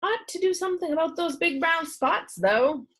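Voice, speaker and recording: A woman voicing a small, round old male doctor who is excitable, blustery and absent-minded in a children's storybook. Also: very high voice, normal speed, voice pushed up. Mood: baffled, blustery.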